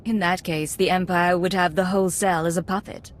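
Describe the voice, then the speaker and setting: A woman's voice: lowish voice, steady tone, fairly quick, lightly acted. An actress playing a cool, self-assured female undercover agent in a video game, composed, guarded and dryly confident. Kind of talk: video game dialogue acting.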